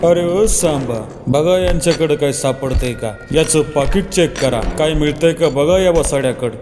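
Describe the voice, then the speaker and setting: Boastful menace drawled out by a theatrical bandit boss, as a man puts him on, in a voice pitched a touch high. The lines ring in a long hall reverb, and loud music plays behind. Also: normal speed, natural voice. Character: blustering comic bandit chief, swaggering and theatrical. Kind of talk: comic stage skit acting.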